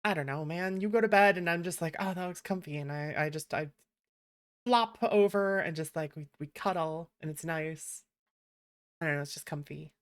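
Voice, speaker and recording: Female streamer, slightly low pitch. Casual, chatty, animated and teasing, happy to swear. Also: normal speed. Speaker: livestreamer, VTuber host. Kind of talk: casual livestream chat.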